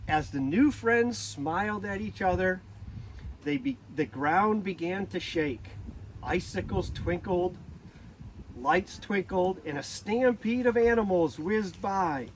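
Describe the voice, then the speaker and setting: A man reading a children's storybook aloud in a deliberate manner, occasionally stumbling over a word. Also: high-pitched, animated, slight background noise, low-quality recording. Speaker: zoo director reading a storybook.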